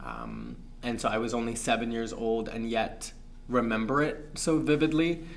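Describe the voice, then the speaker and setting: A young man from Pakistan, a guest on an interview show, talking in a reflective, measured way with frequent fillers. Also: medium pitch, lively intonation, creaky, a little hiss or hum. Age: young adult. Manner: thoughtful, measured, many fillers.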